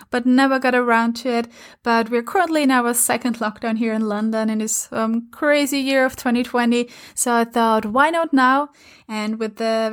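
A woman in her thirties from Austria, a native German speaker with an Austrian German accent, hosting her own podcast in an earnest, encouraging way with many fillers. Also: fairly high pitch. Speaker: podcast host and coach. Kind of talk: casual solo podcast talk. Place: home in London.

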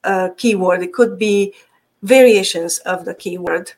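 A woman's voice: mid-range voice, measured pace, a little mellow. A woman from Transylvania with a Hungarian accent, a marketing guest chatting in an interview, with frequent fillers, restarts and easy laughter. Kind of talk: casual interview chat.

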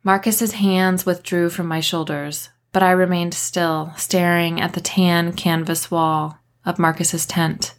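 A woman reads a story aloud in audiobook style. Her voice sits a little low, and she delivers scripted first-person narration as the author of the book. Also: flat delivery, slow pace, hardly any pauses. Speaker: author reading own novel, podcast host.

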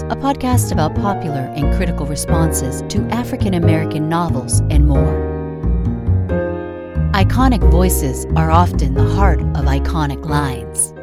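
Woman doing scripted podcast narration, lowish voice, measured n deliberate, bit of music underneath. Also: a little mellow, dry recording, clean studio sound. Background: light background music.

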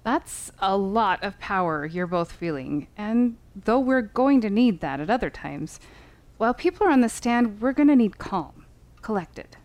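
Female lawyer coach, lively intonation, encouraging, instructive, composed, naturalistic podcast drama. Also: medium pitch, unhurried. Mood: measured. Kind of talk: naturalistic fiction podcast acting.

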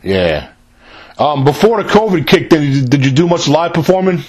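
A man's voice: a little high, very animated, normal speed, darkish tone, slightly rough. A man hosting a casual interview show, asking questions in an informal, chummy way with plenty of "man.". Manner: informal, often says "man".

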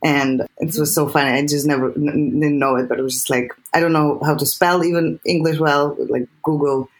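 Serbian-accented woman, earnest and animated. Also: low-pitched, a little fast, poor audio. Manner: animated, many restarts and repeated words. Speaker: guest filmmaker. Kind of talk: earnest interview talk.

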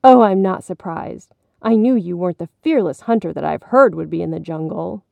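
A woman voicing a rude, menacing washing-machine beast in a storybook, in a slow, scornful manner. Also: on the low side, creaky, dry recording, phone-quality sound.

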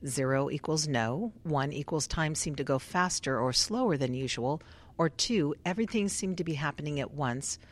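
A woman playing a polite, methodical research doctor in a radio drama, speaking in a formal, measured way. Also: sits low, expressive. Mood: procedural.

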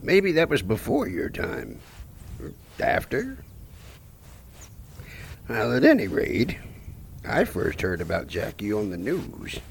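Man acting a slow, gravelly small-town gravedigger. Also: huge pitch swings, a little hiss or hum, full character voice. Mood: wry, reminiscing. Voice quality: low, gravelly. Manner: chatty, rambling, musing. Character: talkative folksy small-town male gravedigger narrator, wry, unhurried. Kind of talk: audio play narration addressed to the listener.

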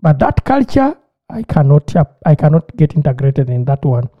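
Animated man on a tinny line. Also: fairly high pitch, moderate pace. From Uganda. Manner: animated storyteller, repeats words, acts out his own reactions. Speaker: podcast co-host. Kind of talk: casual chat.

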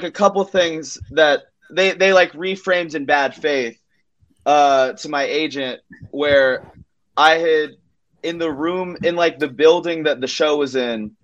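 Male comedian guest, somewhat high voice, loose conversational storytelling, halting and self-interrupting. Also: steady tone, muffled recording.

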